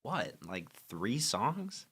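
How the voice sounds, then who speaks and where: A young man, a band's songwriter, chatting as a podcast guest, full of fillers and lively when retelling stories. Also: medium pitch, extremely slow, breathy edge. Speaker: band singer-songwriter and guest. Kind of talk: casual podcast interview.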